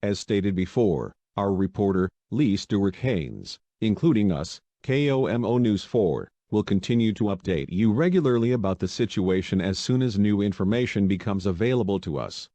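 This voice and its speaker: A man's voice: fairly low pitch, lively intonation, moderate pace, few pauses, dark tone, dry recording, lo-fi sound. A man playing a local TV news anchor, reading a scripted, serious breaking-news bulletin. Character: male local TV news anchor reporting an attack.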